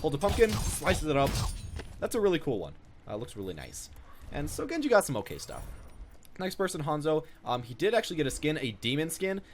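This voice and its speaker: A young man hosts a gaming video in a fairly high, very lively voice that moves along briskly. His commentary is casual and rambling, as if made up on the spot. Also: a little hiss or hum.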